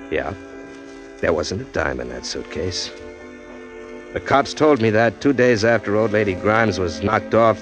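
A man's voice: lowish voice, lightly acted. An actor as a bitter hard-boiled condemned man. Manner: hard-boiled, bitter first-person narration. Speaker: narrator and lead, a man arrested for murder. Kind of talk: 1940s radio acting. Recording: old radio recording with music.

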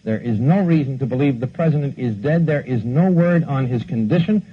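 A man's voice: mid-range voice, a little fast, breathy edge. A man anchoring a live 1960s breaking news bulletin, reporting facts in broadcast style. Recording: muffled, noisy 1960s archival broadcast audio.

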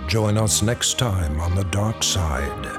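A man reading radio show credits in a measured, formal manner. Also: sits low, animated, loud music behind the voice. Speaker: credits announcer.

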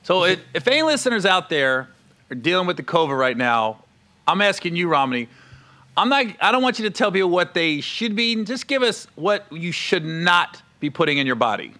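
A man's voice: high voice, very animated, brisk, bright, forceful tone. A man hosting a casual, joking interview in a teasing, colloquial way. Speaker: interviewer, show host.

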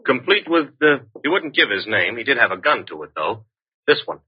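An actor playing a tough, wisecracking detective's assistant in an old radio drama, breezy and sardonic. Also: male voice, medium pitch, sing-song, brisk. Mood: wry, tough. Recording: noisy, muffled vintage radio recording.

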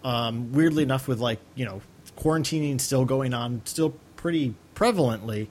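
A man's voice: mid-range voice, moderate pace, a little mellow, a little hiss or hum. A man co-hosting a podcast in casual, rambling, hesitant chat. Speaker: podcast co-host.

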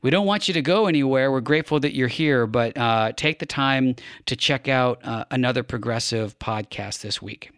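A man hosting a podcast in a conversational way, often pausing partway through his phrases as he speaks. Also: medium pitch, a bit bright, clean tone, no room sound, clean studio sound. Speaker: podcast host.

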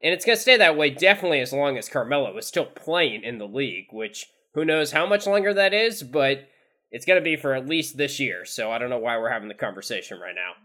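A man's voice: mid-range voice, big pitch swings, fast, bright, forceful tone. A man co-hosting a casual sports podcast, easygoing and conversational. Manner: conversational, easygoing, laughs along. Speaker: podcast co-host.

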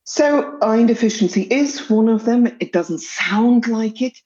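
A woman's voice: a little high, lively intonation, mellow, dull audio. A woman with a South African accent, a pediatric dietitian answering interview questions and working through possible causes one by one. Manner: measured, lists factors one by one. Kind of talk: expert explaining in a podcast interview.

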